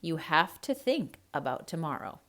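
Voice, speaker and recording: A woman's voice: low voice, very animated, moderate pace, fairly bright tone. A woman playing an earnest, coaxing male folk hero in a children's story, with persuasive delivery.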